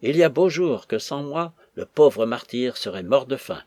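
A man's voice: mid-range voice, animated, normal speed, darkish tone, dry recording, clean studio sound, natural voice. A man voicing an insolent, tipsy, rebellious woman cook with a mocking, brazen manner. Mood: indignant.

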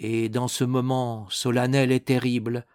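A man voicing a stern Protestant minister in a storybook reading, solemn, preachy and insistent, quick to indignation. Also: mid-range voice, level tone, darkish tone, clear, resonant tone, no room sound, clean studio sound, close to an everyday voice. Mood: solemn exhorting.

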